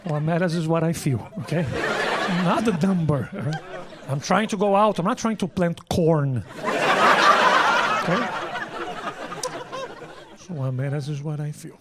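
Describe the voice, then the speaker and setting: A man's voice: on the high side, expressive, measured pace, pauses often. A man with a Brazilian accent performing stand-up comedy, emphatic and repeating his lines, swearing freely. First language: Portuguese. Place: live comedy show with audience. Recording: very noisy live stand-up recording. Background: loud noise, audience laughter.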